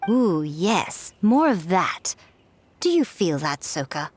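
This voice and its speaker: A woman voicing a sly, playful adult editor who teases others in a smooth, amused manner in a video game. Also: fairly low pitch, big pitch swings, takes it slowly, a little hiss or hum. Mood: teasing. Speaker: light novel editor.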